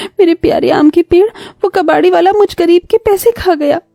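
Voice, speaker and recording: Poor but clever village girl, played by a woman: very high, soft dark tone, earnest, cartoon drama. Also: a little slow, vocal fry, no echo, exaggerated voice. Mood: tearful. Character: clever, tender-hearted poor village daughter.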